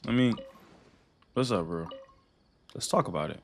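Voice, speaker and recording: A young man reacting casually to gameplay as a streamer, using slang and often repeating himself haltingly. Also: lowish voice, lively intonation, vocal fry, a little hiss or hum. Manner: slangy, informal, halting repetitions. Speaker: gaming streamer.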